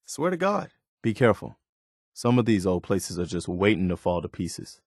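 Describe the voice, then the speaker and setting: Earnest, lowish-voiced wasteland militiaman. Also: very animated, moderate pace, breathy edge, no room sound, clean studio sound, exaggerated voice. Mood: grim disgust, then wary, watchful. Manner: plain-spoken, wistful, wary. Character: earnest, hopeful militiaman companion in a post-apocalyptic wasteland. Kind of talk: video game companion dialogue.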